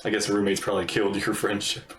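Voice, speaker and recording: A woman's voice: extremely low pitch, monotone, fast, reverberant, recorded from a distance, soft background music. A woman streamer giving playful, casual reaction commentary on a game.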